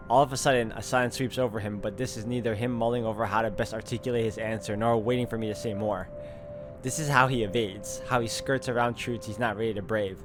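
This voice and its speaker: A man playing through a visual novel, reading its text aloud and adding casual commentary. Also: mid-range voice. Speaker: game streamer doing a walkthrough. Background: game music.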